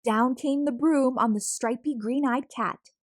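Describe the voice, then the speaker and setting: A woman reading a children's picture book aloud as a storyteller, expressive and deliberate, drawing out words for emphasis. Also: high voice, dry recording, clean studio sound. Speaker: storyteller, read-aloud host.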